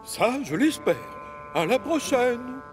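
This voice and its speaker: Casting: pompous cartoon railway chief, fairly high voice, hugely varied intonation, authoritative and emphatic. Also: male voice, sing-song, takes it slowly, soft background music, exaggerated voice. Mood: stern. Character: stern, pompous but fair railway controller. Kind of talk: animated children's series dub.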